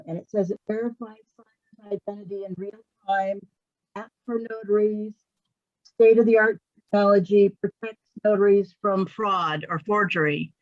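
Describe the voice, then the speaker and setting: A woman from Northern California calling in with a question, measured and reading out details, over a video call. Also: medium pitch, no room sound. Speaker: caller asking a question. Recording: dull dry lo-fi video call audio.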